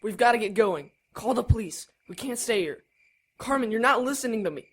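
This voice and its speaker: A woman's voice: a little high, expressive, brisk, husky. A woman acting an anxious, earnest, protective teenage brother in an audio drama, hesitant yet urgent. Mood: frantic, urgent. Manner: hesitant, urgent, literal-minded.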